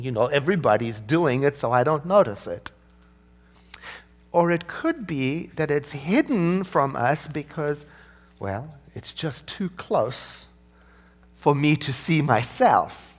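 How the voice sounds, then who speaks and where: Minister, male, South African accent, chatty storyteller in the pulpit, very expressive pitch. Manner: conversational, storytelling with frequent "you know" asides. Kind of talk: sermon.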